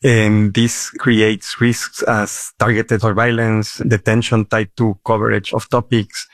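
A man speaking as an advocate in an interview, giving earnest answers in deliberate, halting phrases. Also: lowish voice, level tone, dry recording. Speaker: press freedom advocate guest.

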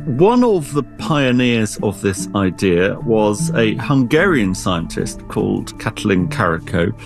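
A man's voice: fairly low pitch, big pitch swings, a little slow, vocal fry, over music. A man who is an expert guest, explaining science in an interview with everyday analogies and frequent restarts.

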